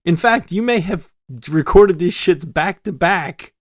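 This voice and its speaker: A man co-hosting a podcast in casual chat, rambling and animated, stumbling over restarts. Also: sits high, a little slow, no echo. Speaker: podcast co-host.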